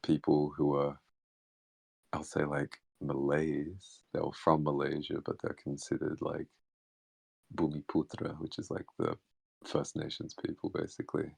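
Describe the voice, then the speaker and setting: A man whose first language is Hakka Chinese talks reflectively in an online chat, pausing and restarting often. His voice is extremely deep and crackles with fry. The sound is dry and close. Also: monotone, darkish tone, no echo. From Australia. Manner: halting, many fillers and restarts. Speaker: interview guest. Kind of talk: casual reflective conversation.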